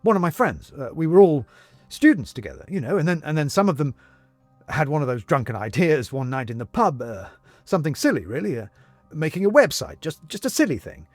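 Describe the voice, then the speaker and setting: A man playing a flustered, self-justifying middle-class man in a storybook, stammering and haltingly repeating his words. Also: on the high side, very animated, dry recording, soft background music. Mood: rambling, defensive.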